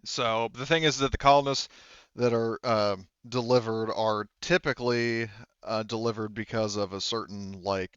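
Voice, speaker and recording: Smooth low-voiced man running a tabletop game. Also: a bit bright, no room sound, lo-fi sound. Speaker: game master. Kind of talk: improvised tabletop game narration and explanation.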